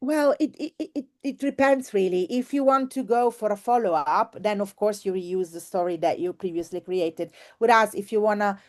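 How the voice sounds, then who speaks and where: A woman's voice: mid-range voice, normal speed, hardly any pauses, a little mellow. A woman teacher giving an informal online workshop, speaking expressively and effusively and repeating words for emphasis. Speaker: teacher and trainer presenting.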